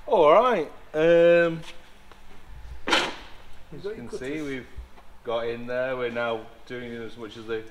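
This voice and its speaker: A man's voice: medium pitch, slow pace, long pauses, highs cut off, background noise. A man in his forties, an aircraft maintenance engineer, chatting as he works through a job in a hangar and often restarting his sentences. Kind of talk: casual hands-on workshop walkthrough. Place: large indoor aircraft hangar.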